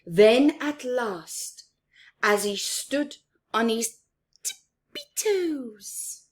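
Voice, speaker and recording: A woman reading a picture book aloud to children in an expressive, theatrical way, with emphatic words and hushed, whispery phrases. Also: a little high, extremely slow. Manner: asks young listeners questions and comments on pictures. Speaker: storyteller for children.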